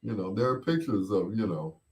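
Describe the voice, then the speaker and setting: A middle-aged man hosting a casual show, chatting and reminiscing in a rambling way, often pausing and repeating himself as he replies to listeners. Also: on the low side, expressive, darkish tone. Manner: unhurried, rambling, with pauses and repeats. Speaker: host of a bedtime stories show. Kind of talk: casual chat, reminiscing and replying to listener comments.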